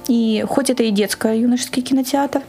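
A woman's voice: fairly high pitch, steady tone, brisk, dark tone. A woman speaking as a guest in a conversational radio interview, earnest and enthusiastic in the way she talks. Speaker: guest, organizer of a screening.

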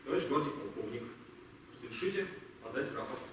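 Man acting indignant captain, swooping high voice. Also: animated, a little fast. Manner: emphatic, barking orders, declamatory. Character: proud, indignant male guards captain, hot-headed, scornful. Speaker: amateur theatre actor. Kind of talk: stage play acting. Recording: echoey hall, distant mic, background noise.

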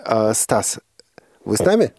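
A man hosting a radio talk show, interviewing conversationally with halting, self-correcting phrasing. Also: fairly low pitch, sing-song, gravelly, a little mellow. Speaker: radio host. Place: dry radio studio.

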